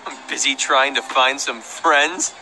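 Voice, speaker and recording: An actor voicing a smug, sneering young bully in a video game, with a mocking, drawn-out delivery. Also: male voice, mid-range voice, over music, close to an everyday voice, low-quality recording.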